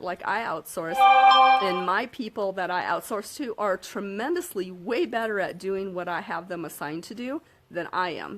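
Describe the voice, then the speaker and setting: A woman with a slightly hoarse voice, giving a casual motivational talk to camera and checking in with her listeners often. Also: medium pitch. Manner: upbeat, frequent "okay?" check-ins and fillers. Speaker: self-help vlogger.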